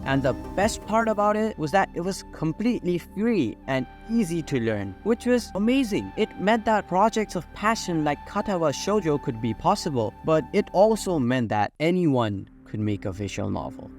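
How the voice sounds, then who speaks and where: A man's voice: mid-range voice, a little mellow. A young man narrating a scripted video essay in an informal, opinionated way, sometimes swearing. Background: prominent background music.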